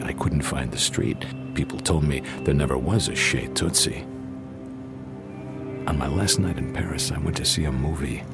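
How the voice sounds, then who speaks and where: An American man playing a world-weary lover narrating a film noir radio drama. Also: a bass voice. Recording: music behind the voice.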